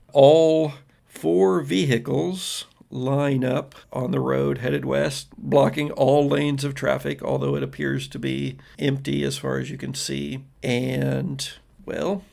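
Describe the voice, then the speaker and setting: A man narrates a tabletop roleplaying game as its game master. His voice is medium in pitch with heavy creak, and he improvises in a casual, conversational manner. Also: darkish tone.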